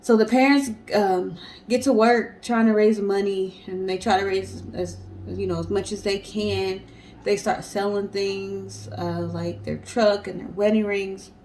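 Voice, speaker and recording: A woman's voice: medium pitch, a little mellow. A woman co-hosting a casual storytelling show in a conversational, explanatory way. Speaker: co-host.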